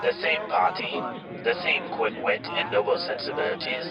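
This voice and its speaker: A man's voice: mid-range voice, very animated, normal speed. A man playing a suave announcer, delivering scripted parody commercial narration. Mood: suave selling. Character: smooth mock advertisement announcer for wine. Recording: muffled lo-fi recording, loud music behind.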